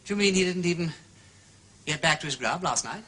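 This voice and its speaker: An actor playing a breezy, talkative aristocratic gentleman sleuth in a radio drama, with an upper-class English accent and an effusive manner. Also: fairly high pitch, animated, fairly quick. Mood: chatty, probing. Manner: effusive, affectedly jolly and chatty.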